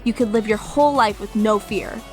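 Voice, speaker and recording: A woman's voice: medium pitch, a little fast, a little mellow, soft background music, close to an everyday voice. An actress playing a bold, eager teenage girl, slangy and persuasive in audio drama dialogue. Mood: eager, coaxing.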